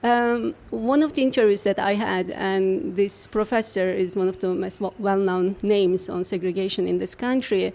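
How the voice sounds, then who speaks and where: A woman researcher answering interview questions in a measured, explanatory way, often pausing on fillers. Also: mid-range voice, steady tone, vocal fry, a little mellow. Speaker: university researcher, guest.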